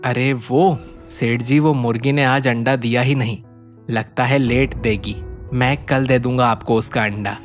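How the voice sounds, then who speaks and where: A man's voice: mid-range voice, fairly even intonation, measured pace, soft background music, pitched below the performer's own voice, lightly acted. A man voicing a poor, humble, obliging village egg seller in a cartoon, with a deferential, explaining manner. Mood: humble, mildly complaining.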